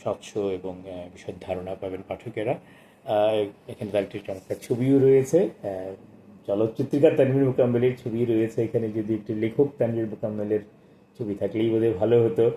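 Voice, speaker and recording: A man in his sixties presenting a book of poetry, speaking and reciting in a measured, expressive way with formal literary diction. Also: fairly low pitch, slight background noise, poor audio. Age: 60s.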